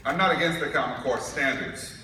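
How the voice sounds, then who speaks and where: A man's voice: mid-range voice, a little fast, bright, forceful tone. Man giving a keynote in an echoey hall. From New York. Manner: storytelling with humor. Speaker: keynote speaker. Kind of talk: conference keynote speech. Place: large conference hall. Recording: echoey hall recorded from far off, noisy.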